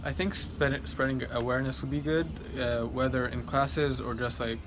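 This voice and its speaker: A teenage boy from Egypt, a high school student answering interview questions thoughtfully, with frequent ums and likes. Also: steady tone, normal speed, background noise. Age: teens.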